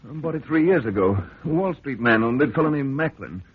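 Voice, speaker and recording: Tinny, husky troubled, evasive man. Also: mid-range voice, lively intonation, quick pace. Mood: uneasy, evasive. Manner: hesitant, anxious, throat-clearing. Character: troubled, evasive lake-cabin owner, polite male friend. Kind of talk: old-time radio drama acting. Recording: muffled, tinny old radio recording.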